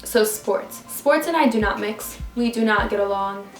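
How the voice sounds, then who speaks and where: A woman's voice: medium pitch, moderate pace. Twenty-something woman from nyc rambling chattily on her phone vlog, echoey room, faint music behind. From New York City. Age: 20s. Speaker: vlogger. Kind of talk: casual get-to-know-me vlog. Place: at home, indoors. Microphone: phone camera.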